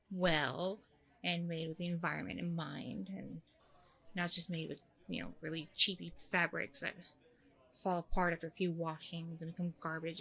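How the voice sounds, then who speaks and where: A woman playing a chatty, candid, self-deprecating single mom on a blind date in a casual audio roleplay, rambling informally with a creaky voice. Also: lowish voice, fairly bright tone, heavily acted. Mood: wistful, mildly frustrated. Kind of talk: ASMR audio roleplay, casual conversational acting.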